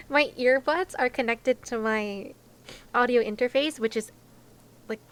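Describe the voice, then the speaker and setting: A young adult woman chatting casually as a game streamer, with an expressive, giggly, reactive way of talking. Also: a little high, takes it slowly, background noise. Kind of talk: casual game livestream chat. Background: faint game music.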